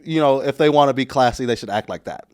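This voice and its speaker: A laid-back comedian with a Texan accent talks casually on a podcast, often stopping and restarting his sentences. His voice sits at an ordinary pitch for a man and sounds dry and close to the mic. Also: quick pace, darkish tone, smooth, dry recording. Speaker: comedian guest. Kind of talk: casual chat.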